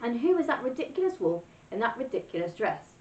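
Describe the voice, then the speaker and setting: A spoiled young woman who screeches and bosses everyone around as a woman narrator acts her out. Her shrill voice sounds muffled and roomy, heard from afar. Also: sing-song, brisk, a little mellow. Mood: furious. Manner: screeching, bossy. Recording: distant, roomy, dull lo-fi sound.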